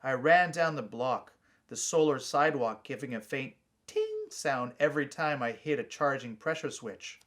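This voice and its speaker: A man's voice: mid-range voice, big pitch swings, moderate pace, fairly bright tone. A man reading his own short story aloud as a scripted first-person narration.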